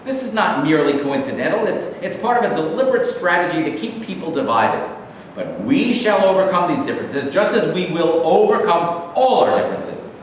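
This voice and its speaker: A man's voice: high voice, very animated, a little fast. A man playing an earnest socialist activist in a staged reading, making declamatory, sloganeering speeches. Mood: rousing, preachy. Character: earnest, preachy socialist activist leading a meeting. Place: live staged reading in a reverberant room. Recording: very echoey hall from far off, faint noise.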